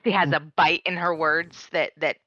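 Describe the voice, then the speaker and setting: Woman player, emphatic, bright sharp voice, muffled lo-fi mic. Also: low voice, normal speed, no room sound. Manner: animated, emphatic.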